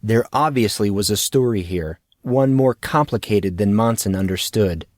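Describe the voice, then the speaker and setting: Low-voiced man narrating a story, dry recording. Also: moderate pace, no echo. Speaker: audiobook narrator. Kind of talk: scripted fantasy story narration.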